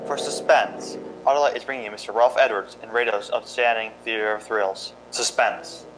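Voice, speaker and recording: A man acting as the announcer of an old-time radio suspense show, measured and theatrical. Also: fairly low pitch, steady tone, fairly bright tone, faint music behind, lightly acted, low-quality recording. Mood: ominous, grand.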